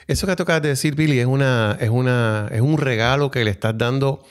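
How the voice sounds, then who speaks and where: A man's voice: medium pitch, fast talker, clean tone. A middle-aged man hosting an interview, often finishing his guest's sentences and dropping in English words. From Puerto Rico. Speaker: podcast host. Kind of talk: interview conversation. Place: small studio with a table. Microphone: table mic on a stand.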